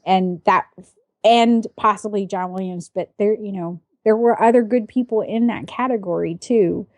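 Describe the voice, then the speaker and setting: A middle-aged woman talks casually as a podcast co-host. She reasons things through in a measured way, at a medium pitch. The sound is dry, with very little room to it. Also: darkish tone, no echo. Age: 40s. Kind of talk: casual pop-culture podcast chat.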